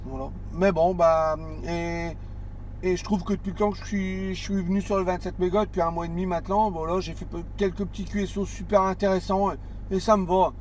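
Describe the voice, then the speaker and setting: CB operator: high-pitched man, halting casual talk from his car. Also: fairly even intonation, darkish tone, slight background noise. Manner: hesitant, many restarts and fillers. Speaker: CB radio operator. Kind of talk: casual radio chat. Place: parked car.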